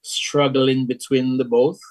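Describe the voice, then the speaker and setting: A middle-aged man with a medium-pitched voice co-hosts a casual interview. He is from Dominica and tends to double up on words and short phrases while he forms a question or comment. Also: flat delivery, slow pace, darkish tone. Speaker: co-host.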